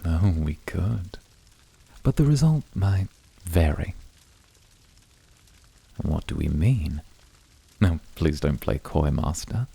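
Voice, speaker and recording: A man voicing a composed, poetic, devoted incubus in a flirty ASMR roleplay, speaking formally in a measured, seductive, often whispery way with a raspy, breathy voice. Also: low voice, lively intonation, mellow, exaggerated voice. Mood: composed, coy. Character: composed, poetic, devoted male incubus of calm, icy temper.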